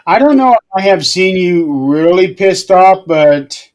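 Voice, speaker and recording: Brief: unhurried male co-host, slow delivery, slightly high voice. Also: lively intonation. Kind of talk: casual chat.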